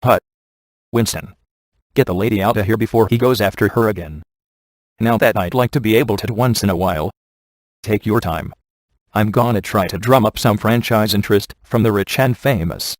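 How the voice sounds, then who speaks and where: A man's voice: lowish voice, normal speed, no room sound, lightly acted. A man voicing a cocky, wisecracking, flirtatious middle-aged ghost hunter in a video game, with dry, sarcastic delivery. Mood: flirty, wry. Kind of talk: video game voice acting, one-liners.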